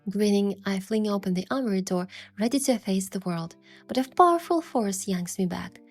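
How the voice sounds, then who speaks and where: A woman playing a sincere young heroine, narrating her romance story in a soft, measured and expressive way. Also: medium pitch. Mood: reflective narration. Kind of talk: scripted first-person story narration and dialogue. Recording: music behind the voice.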